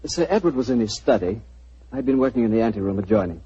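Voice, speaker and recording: A man's voice: fairly low pitch, lively intonation, quick pace, warm tone, breathy edge. An actor playing an earnest, anxious young man under arrest in a 1940s radio drama. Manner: earnest, pleading. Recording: muffled old radio recording.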